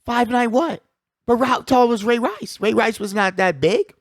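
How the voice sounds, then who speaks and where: A man with a high voice hosts casual sports talk in a very animated way. His pitch swings widely as he makes emphatic points, repeating himself and dropping slangy asides. The sound is close and dry. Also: measured pace, no room sound. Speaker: sports talk show host. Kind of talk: casual sports debate and commentary.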